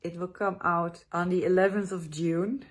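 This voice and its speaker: A woman's voice: lowish voice, fairly even intonation, normal speed. Breathless woman vlogger. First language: Dutch. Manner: tired, hesitant, frequent restarts. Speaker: travel vlogger. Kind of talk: casual talk to camera.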